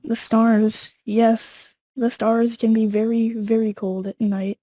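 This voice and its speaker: Slow, mellow dreamy storyteller played by a woman. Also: on the high side, level tone. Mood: tender, wistful. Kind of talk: amateur table read.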